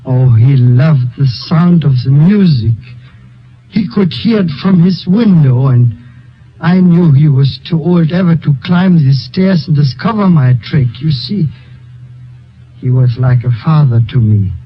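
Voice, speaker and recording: A nervous, ashamed pianist who speaks slowly and falters over his words, his voice rising and falling a lot. It sounds like a dull old radio broadcast. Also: a little high, lively intonation, faint music behind. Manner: hesitant, halting, slow. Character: ashamed pianist Hans, proud and nervous man. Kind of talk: 1950s radio drama acting.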